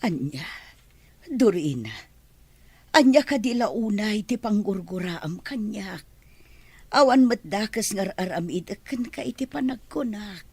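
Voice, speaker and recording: An actress with an Ilocano accent playing a humble, patient maid who speaks meekly and apologetically. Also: female voice, mid-range voice, expressive, unhurried, pauses often, a little mellow, husky, dry recording. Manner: meek, apologetic.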